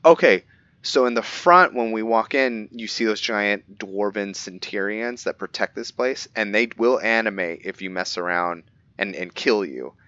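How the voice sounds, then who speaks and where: A man's voice: fairly low pitch, unhurried, sharp tone, dry recording, highs cut off. A man playing a roleplaying game, reading in-game books aloud and stopping to comment, often stumbling over names and restarting words. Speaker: roleplaying gamer.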